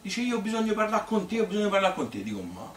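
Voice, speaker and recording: A man's voice: high-pitched, sing-song, racing. A man playing an old Tuscan grandmother who reminisces in a rambling, colloquial monologue, chatty, feisty and devoted to her husband. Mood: amused, nostalgic. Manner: rambling, colloquial, repetitive, with little interjections. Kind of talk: spontaneous-sounding theatrical monologue. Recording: echoey, distant, noisy stage recording.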